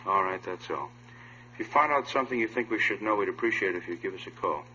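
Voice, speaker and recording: An actor playing a cautious, businesslike police captain with an air of authority in a 1950s radio drama. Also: male voice, low-pitched, expressive, fast, bright, forceful tone, slight background noise. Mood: firm, cautious. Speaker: supporting actor. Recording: muffled, humming vintage radio recording.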